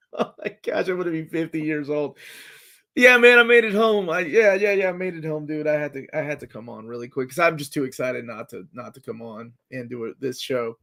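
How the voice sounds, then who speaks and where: A man in his forties hosting a casual live chat, talking excitedly like a fan as he reads and answers comments. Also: on the high side, fairly quick, creaky. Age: 40s. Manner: excitable fan, jumps between comments and guesses.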